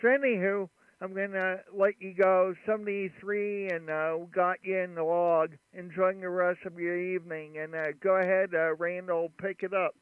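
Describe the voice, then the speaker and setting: A man running a ham radio chat and livestream, talking in a relaxed, rambling way with many fillers. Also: high-pitched, normal speed, smooth, no room sound. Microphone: studio mic at his station. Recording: radio audio, narrow band.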